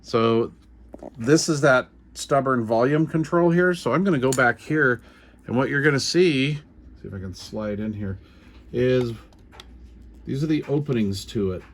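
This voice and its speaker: A middle-aged or older American man giving an informal hands-on how-to explanation in an unhurried, halting, folksy manner. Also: mid-range voice. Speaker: repair tutorial host. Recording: clear background noise.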